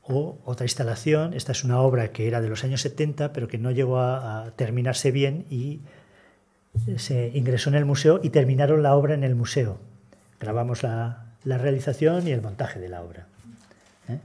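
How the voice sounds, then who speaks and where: An older man delivers a seminar talk seated at a table in a conference room. His voice is mellow and a little breathy, and he explains things in a measured way with many hesitations. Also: medium pitch. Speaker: conference speaker, conservator.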